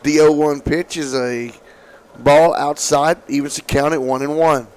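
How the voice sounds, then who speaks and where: A man calling softball play-by-play, his voice slightly raspy from allergies, with calm, measured calls. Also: a little high, poor audio. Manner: calm, measured calls, excited on big hits. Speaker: play-by-play announcer.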